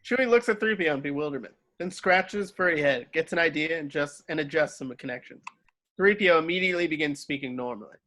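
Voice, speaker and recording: Higher-voiced man reading stage directions. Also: expressive, fast talker, sharp tone. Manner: stumbles over words and rereads. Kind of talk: amateur screenplay table read.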